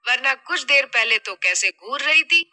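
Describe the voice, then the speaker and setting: A scheming, money-minded middle-aged mother played by a woman from an audio drama. She scolds and nags with exasperation in a high, forceful, very bright voice. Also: expressive, normal speed, exaggerated voice. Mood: scheming, teasing.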